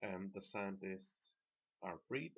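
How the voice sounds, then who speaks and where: A man who is not a native English speaker, narrating an instructional video game guide in a measured, explanatory way. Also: low-pitched, fairly bright tone, slightly rough. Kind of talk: instructional gameplay commentary. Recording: dull, lo-fi microphone sound.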